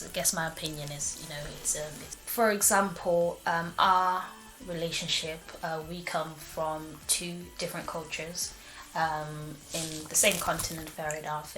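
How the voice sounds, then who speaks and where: Low, even-voiced young woman in an echoey room. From born in Malawi, grew up there and in Manchester, England. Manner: hesitant, tentative phrasing. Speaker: interviewee. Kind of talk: reflective interview answer.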